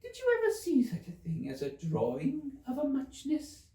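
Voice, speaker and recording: A man's voice: very high voice, wildly swooping pitch, moderate pace, warm tone, roomy sound, far from the mic, voice pushed up, exaggerated voice. A man voicing a sleepy, sulky little male dormouse telling a rambling storybook tale. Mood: drowsy, rambling. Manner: sulky, indignant, drowsy.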